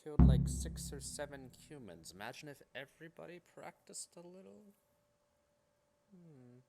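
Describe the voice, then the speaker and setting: A man's voice: a little high, very animated, moderate pace, gravelly, mellow, soft background music. A man giving casual, rambling, joking commentary as he plays a video game. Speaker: gamer, let's play host.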